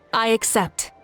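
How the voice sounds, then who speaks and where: A woman playing a confident, composed warrior heroine in a video game, self-assured in manner. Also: a bit bright. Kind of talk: video game character acting. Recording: music behind the voice.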